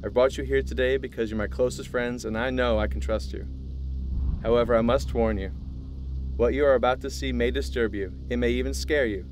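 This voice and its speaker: An actor playing an earnest, secretive male scientist in an audio drama, speaking in a measured, formal way. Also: mid-range voice, fairly even intonation, a little mellow, a little hiss or hum, natural voice. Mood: grave, secretive.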